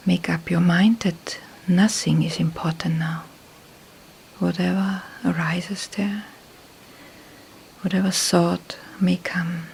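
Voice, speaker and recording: A woman teaches meditation in a soft, breathy voice with a gravelly creak. Her delivery is very slow and calm, broken into short phrases with long pauses. Faint background noise sits under the recording. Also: fairly low pitch, rough recording. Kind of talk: slow guided meditation instruction.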